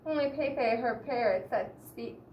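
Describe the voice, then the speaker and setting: A woman reading a picture book aloud expressively to children, pausing to explain and ask the listeners questions. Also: fairly high pitch, highs cut off. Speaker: children's story reader. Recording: roomy echo, distant mic, background hiss.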